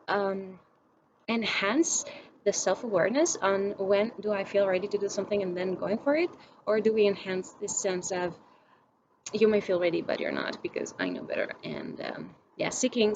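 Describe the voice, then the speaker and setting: A woman's voice: mid-range voice, darkish tone, dull audio, slight background noise. A young woman from Greece, talking to camera in a reflective personal vlog, musing aloud with many restarts while she searches for her words. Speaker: vlogger.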